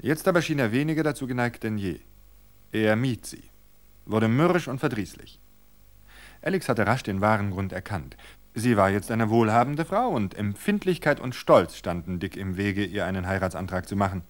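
A man reading a mystery story aloud for radio in a calm, measured way. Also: on the low side. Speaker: reader.

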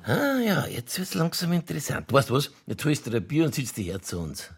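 A sardonic Bavarian pub regular in a radio play. His husky voice swings widely in pitch as he needles people with mock seriousness. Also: huge pitch swings, fairly quick, heavily acted. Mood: teasing. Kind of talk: German radio crime drama acting.

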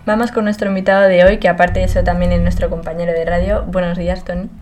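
A woman from hosts a radio interview in a calm, measured way. Her voice is low and keeps a steady, flat line. Soft music plays faintly behind her. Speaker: radio host.

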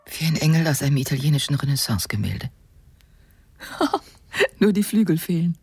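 An actress playing an effusive, playful woman in a German radio drama, with a husky, breathy voice. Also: deep voice, big pitch swings, normal speed, darkish tone, dry recording, heavily acted. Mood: delighted, playful.

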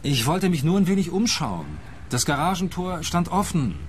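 An actor playing a pushy, bumbling private detective in a radio play, speaking in an earnest, insistent way. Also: male voice, on the high side, lively intonation, moderate pace, a little hiss or hum, lightly acted.

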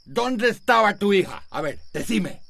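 Drunk, domineering gravel-voiced Costa Rican father. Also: high voice, very animated, a little slow, background noise, exaggerated voice. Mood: belligerent. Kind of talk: radio soap opera acting.

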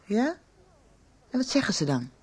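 An actress playing a concerned, insistent doctor questioning a young patient in a radio soap. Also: female voice, mid-range voice, very animated, husky. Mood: probing, gentle. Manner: direct, insistent, deliberate questioning. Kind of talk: Dutch radio soap acting.

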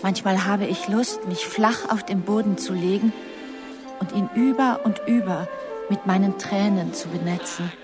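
A woman's voice: medium pitch, steady tone, unhurried, natural voice. An actress playing a frank, defiant woman in her twenties in a radio drama, blunt, teasing and intense. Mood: intense, impassioned. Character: headstrong young Japanese woman of 23, frank and defiant. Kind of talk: literary radio drama dialogue. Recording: studio radio drama under music. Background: prominent music.

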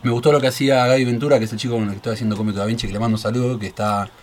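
A man's voice: medium pitch, steady tone, racing. A man in his thirties with a Rioplatense Argentine accent, a stand-up comedian chatting casually as a guest, laughing often and rambling with fillers. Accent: Rioplatense Spanish. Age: 30s. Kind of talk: casual radio chat.

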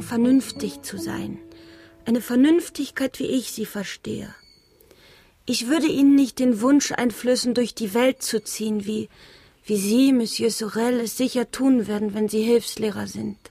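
Gentle, wistful young gentlewoman, lightly high. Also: breathy edge. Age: young adult. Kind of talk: German radio drama acting.

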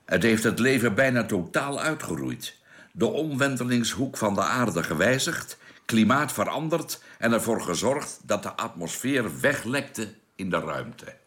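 A sombre male member of a space crew in a radio drama. His lowish voice has lively inflection. He explains gravely and deliberately. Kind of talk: radio play acting.

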